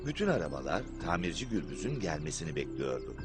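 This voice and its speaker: Male children's narrator, low voice, animated intonation, storybook style, loud music. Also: fast talker. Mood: storytelling. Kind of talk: scripted children's cartoon narration.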